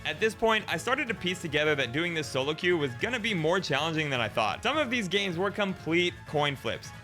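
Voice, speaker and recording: A man narrating his own climb through a competitive game in an even, explanatory voice-over. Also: a little high, a little fast, faint music behind.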